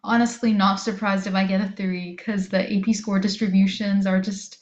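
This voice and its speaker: A teenage woman, a high school student and native Spanish speaker, chatting casually as she records a vlog. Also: flat delivery, normal speed. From moved to the US from abroad. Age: teens, high school student. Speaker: student vlogger. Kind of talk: casual chat to camera. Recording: roomy echo, slightly dull sound.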